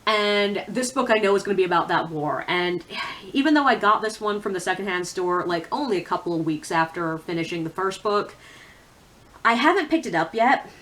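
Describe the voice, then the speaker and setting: Woman, casual book vlogger, mid-pitched voice, candid, opinionated, informal asides. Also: expressive, fairly quick, fairly bright tone. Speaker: book reviewer on a video channel. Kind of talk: casual chatty vlog talk about books.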